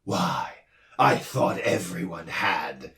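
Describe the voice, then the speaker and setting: A man voicing a pompous male doctor who lectures loudly and roars, in a storybook reading. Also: low-pitched, very animated, slow pace, reverberant, distant mic, pitched below the performer's own voice, full character voice. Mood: lecturing. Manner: loud, roaring, lecturing. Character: booming gruff male noise doctor, pompous.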